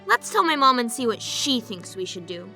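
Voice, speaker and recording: A woman's voice: on the high side, sing-song, moderate pace, pushed and bright, faint music behind, heavily acted. A woman voicing an earnest young child who cares about a dog, in a children's comic read-aloud. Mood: worried, earnest.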